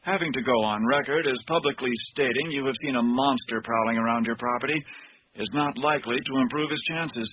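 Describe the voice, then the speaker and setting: Guy acting as calm analytical detective in a radio play, mid-pitched, formal and deliberate, faint tape noise. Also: close to an everyday voice. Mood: calm, assured. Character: composed analytical male investigator of supernatural mysteries. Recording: dull, noisy old cassette tape.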